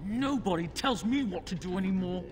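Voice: medium pitch, animated, normal speed, slight background noise, lightly acted. A performer playing a demon-possessed young boy in a fantasy game, haughty, mocking and commanding. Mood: petulant menace.

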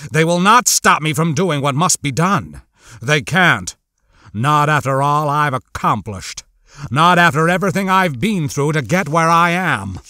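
A man voicing an obsessed, desperate scientist villain in an audiobook, speaking slowly and emphatically with menace. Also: fairly high pitch, expressive, sharp tone, dry recording, clean studio sound. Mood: desperate resolve.

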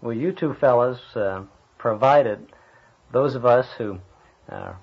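A man's voice: medium pitch, slow, slightly rough. A man interviewing guests conversationally, complimentary, with hesitant phrasing. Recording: dull lo-fi archive interview audio.

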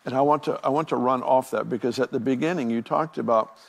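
Man in his sixties, gravelly voice, earnest pastor, reflective and halting. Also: mid-range voice. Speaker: pastor interviewing a guest. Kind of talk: conversational ministry interview.

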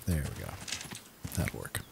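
A man's voice: unhurried, very noisy. A man giving casual, easygoing commentary on his own gameplay, talking to himself as he plays.

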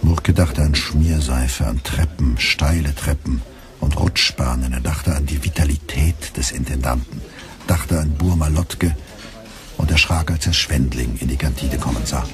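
An actor playing a weary male radio editor who narrates in a measured, precise, dry literary style. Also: a bass voice, faint music behind.

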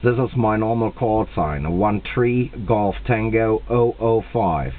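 A man with a German accent making a two-way radio contact, speaking slowly and deliberately and repeating himself. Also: fairly low pitch. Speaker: radio operator. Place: indoors at a radio set. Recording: tinny, band-limited camera capture at the radio.

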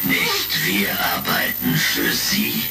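Deep, gravelly villain baron. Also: male voice, expressive, heavily acted. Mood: threatening. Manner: slow, threatening, formal. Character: menacing, imperious baron, pirate villain serving masters. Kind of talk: retro sci-fi radio play acting. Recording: distant, crackly vintage radio-play record. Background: loud music.

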